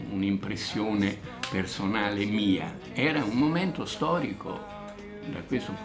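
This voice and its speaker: A man's voice: on the low side, gravelly. A man speaking spontaneously and emphatically as he recalls the past in a conversational interview. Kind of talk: spontaneous recollection. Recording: guitar music behind the voice. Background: guitar music.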